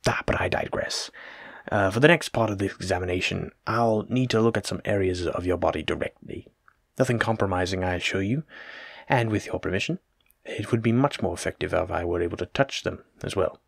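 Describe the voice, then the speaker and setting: A man's voice: low-pitched, a little fast, vocal fry, slightly rough, no room sound, studio quality, full character voice. A man playing a courteous, learned plague doctor in a roleplay audio drama, speaking formally and reassuringly as he attends to a patient. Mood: gently attentive. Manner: formal, polite, reassuring, wordy. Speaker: physician examining a patient. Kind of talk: soft-spoken roleplay audio drama, addressing the listener.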